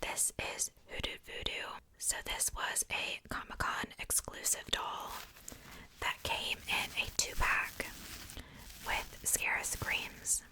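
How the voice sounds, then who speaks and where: A woman whispers close to the microphone in an ASMR style. Her voice is unvoiced and breathy, with a very bright, sibilant edge. She talks softly and without hurry, as in a casual, chatty show-and-tell. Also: slow, rough recording. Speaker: ASMR video creator. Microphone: close sensitive microphone.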